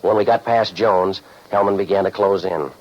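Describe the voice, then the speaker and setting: An American actor playing a sardonic, hard-boiled private eye who narrates his case deadpan in 1940s radio noir style. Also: deep voice, normal speed. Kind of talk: 1940s radio noir acting, scripted first-person narration. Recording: muffled, noisy 1940s radio recording.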